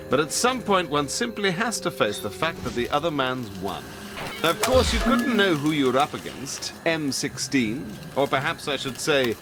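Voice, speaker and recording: Smug villain, animated, over noise. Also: male voice, on the high side. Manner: pompous, polite, gloating. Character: suave, smug male gentleman villain. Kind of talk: video game villain acting.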